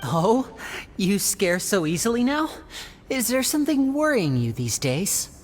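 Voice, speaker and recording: A woman's voice: medium pitch, big pitch swings, measured pace, darkish tone. A woman voicing a playful young male stage magician in a video game, with a teasing, theatrical way of talking. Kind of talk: animated video game voice acting.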